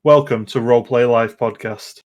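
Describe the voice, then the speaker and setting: A man's voice: medium pitch, steady tone, moderate pace, darkish tone. Chatty man hosting a podcast. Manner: chatty, self-interrupting, digressive. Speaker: podcast host. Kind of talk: casual gaming podcast chat.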